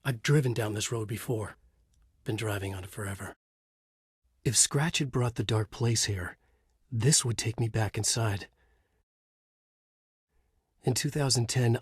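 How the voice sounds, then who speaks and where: Tormented male novelist for a horror video game: mid-pitched, husky, grave, introspective noir monologue with intense conviction. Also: darkish tone, dry recording, clean studio sound. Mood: weary, resigned. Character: tormented male thriller writer trapped in a horror story. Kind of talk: brooding dramatic game dialogue and noir monologue.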